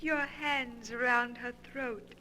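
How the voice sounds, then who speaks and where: A piercingly bright, slow female voice on a hissy vintage radio recording. It is an evil ghost lover, seductive and commanding, drawing out each phrase in melodramatic 1940s horror style. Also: on the high side, steady tone, poor audio. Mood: urgent, cruel.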